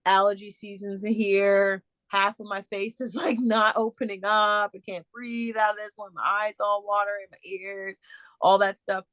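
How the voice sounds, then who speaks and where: A woman speaker answering audience questions in a casual, halting way, with many fillers and restarts over a video call. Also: medium pitch, little pitch movement, a bit bright, no room sound. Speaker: guest speaker.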